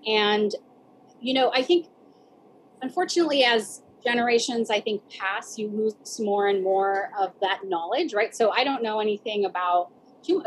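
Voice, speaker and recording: A woman's voice: mid-range voice, slight background noise, low-quality recording. A woman with an American accent reflecting casually on her own life as an interviewee, often saying "like" and "you know". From San Diego border region, United States. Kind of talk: reflective casual personal storytelling.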